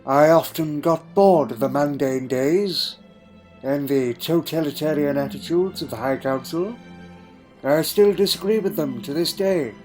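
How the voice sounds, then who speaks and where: A man plays a wistful, lonely time traveller from another world in an audio drama. His voice sits a little high for a man and moves in short, halting, reflective phrases. Music plays clearly beneath it. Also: darkish tone, natural voice. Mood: weary, resentful. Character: wistful male alien time traveller recalling a lonely childhood. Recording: music under the voice.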